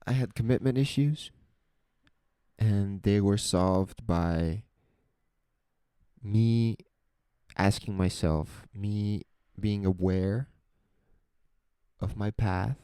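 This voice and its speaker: A young man with a soft, soothing voice, talking slowly and reflectively into a close microphone in a solo podcast. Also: on the low side, clear, resonant tone, no echo. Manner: slow, introspective, rambling. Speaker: podcast host.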